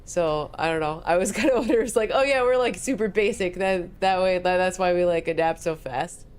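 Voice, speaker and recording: A woman's voice: on the low side, brisk, a little mellow, clean tone. A woman co-hosting a game stream in casual chat, talking through a stuffy nose, rambling and laughing easily. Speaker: streamer co-host. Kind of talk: casual let's-play chat.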